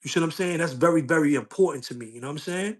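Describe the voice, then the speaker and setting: A man's voice: fairly high pitch, rapid-fire. A middle-aged man talking casually to his livestream viewers, using street slang and often repeating himself with "you know what I'm saying". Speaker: social media streamer.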